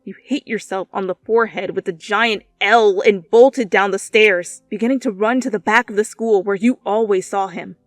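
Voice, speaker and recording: Female narrator for an expressive audio story reading, dry studio-like sound with a light music bed. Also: medium pitch, normal speed, few pauses, no room sound. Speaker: narrator, fan fiction reader. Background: background music.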